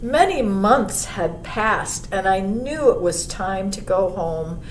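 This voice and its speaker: A woman voicing a gentle, wise old male monarch butterfly in a storybook, telling a child of travels with unhurried wonder. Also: expressive. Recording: roomy, distant mic, faint rumbling noise.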